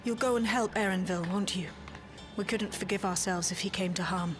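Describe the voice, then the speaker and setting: Actress as authoritative caring woman, medium pitch, game drama, music underneath. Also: fairly quick, close to an everyday voice. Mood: concerned. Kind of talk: video game cutscene voice acting.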